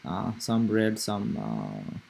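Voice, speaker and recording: A man's voice: on the low side, very animated, slow pace, creaky, darkish tone, slight background noise. Man musing over stock charts. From Nepal. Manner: rambling, thinking aloud with many fillers and restarts. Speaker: stock trading streamer. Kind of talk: casual live market commentary.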